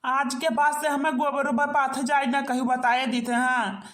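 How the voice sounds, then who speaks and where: High-voiced young cartoon village lad, acted out, Awadhi accent, blunt, grumbling, comic delivery, roomy echo. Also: flat delivery, moderate pace, fairly bright tone, a little hiss or hum. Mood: grumbling, defiant. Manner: blunt, complaining. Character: grumbling young village bridegroom who herds goats.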